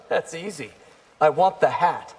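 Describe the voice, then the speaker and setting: A young game warrior, friendly but competitive. His fairly high voice is light and confident, with faint noise behind it. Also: animated, slow, a little mellow. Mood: playful. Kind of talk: video game character acting.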